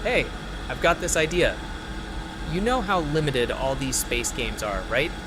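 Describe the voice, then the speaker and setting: A man's voice: fairly high pitch, lively intonation, unhurried, very noisy. An actor playing a young male game programmer in an audio drama, with an enthusiastic manner that can turn uneasy. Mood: excited, eager. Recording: loud music behind the voice. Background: prominent music bed.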